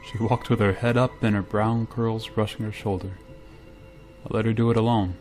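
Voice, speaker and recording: A man playing a weary space pilot in a radio drama, somber and measured in delivery. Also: lowish voice, flat delivery, a little mellow, soft background music, close to an everyday voice. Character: grave, weary male space pilot recounting a tragedy. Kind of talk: radio drama acting and first-person narration.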